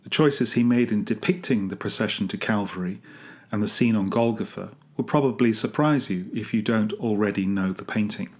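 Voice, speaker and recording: A man reading a scripted, reflective devotional meditation as a church rector, in a measured and earnest manner. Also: on the low side.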